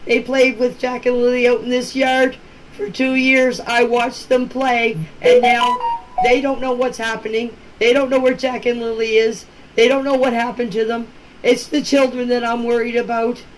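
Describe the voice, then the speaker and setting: A woman's voice: fairly high pitch, flat delivery, moderate pace, faint music behind. An older woman talks informally and emphatically in a voice message, plain-spoken and often repeating herself. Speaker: relative speaking about a missing-children case. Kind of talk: informal personal voice-message talk. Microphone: phone voice message. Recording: noisy amateur voice-message recording.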